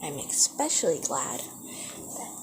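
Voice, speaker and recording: A woman playing a nervous, bubbly young woman in an intimate roleplay audio, with flustered, halting, breathy speech. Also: low-pitched, sing-song, heavily acted. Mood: friendly, shy. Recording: loud cooking noise behind the voice. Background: kitchen cooking sounds.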